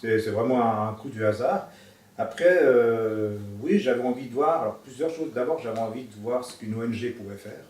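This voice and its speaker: A man around fifty giving an interview testimonial in a halting, hesitant way. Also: mid-range voice, a little mellow. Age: 50s. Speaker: civil engineer, volunteer. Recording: roomy, distant mic, faint noise.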